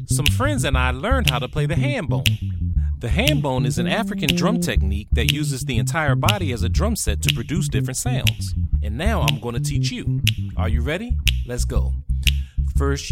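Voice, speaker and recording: A man's voice: medium pitch, big pitch swings, moderate pace. A man performing a rhythmic spoken children's song as a teacher, encouraging listeners in call-and-response. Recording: studio song with loud music backing. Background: plucked guitar music.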